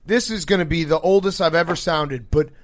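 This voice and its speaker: A man's voice: a little high, brisk, dry recording, clean studio sound. A sleep-deprived man hosting a podcast with casual, blunt, slangy sports banter and joking. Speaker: podcast host.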